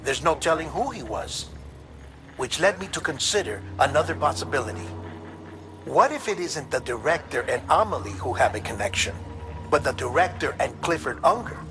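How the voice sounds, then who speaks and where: A man playing an earnest, analytical investigator in a video game, giving a serious, measured report to a colleague. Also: fairly high pitch, very animated, music in the background. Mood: urgent, puzzled. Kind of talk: scripted dramatic game dialogue.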